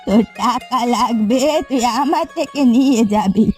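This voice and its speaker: A woman plays an old village granny from a cartoon fairy tale, with a high voice. She is bossy and talkative, scolding people fondly. Light music plays underneath. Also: moderate pace, natural voice. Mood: scolding, kindly.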